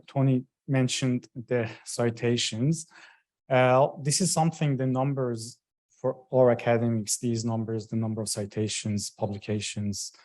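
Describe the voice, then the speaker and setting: Iranian-born man, forties; modest, hesitant lecturer, mid-pitched. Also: darkish tone. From Iran. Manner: hesitant, modest, with frequent fillers. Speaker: guest lecturer. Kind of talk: formal lecture opening.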